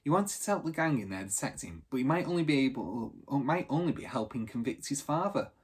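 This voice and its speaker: A man reading a children's story aloud in an expressive, dramatic narrating style. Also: mid-range voice, brisk, breathy edge.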